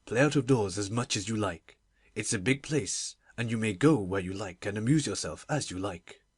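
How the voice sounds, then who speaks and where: A husky, low, weary gentleman. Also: lively intonation, normal speed, dry recording, clean studio sound, exaggerated voice. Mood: weary, worried. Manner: fretful, muttering. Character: weary, miserable, absent-minded middle-aged gentleman guardian.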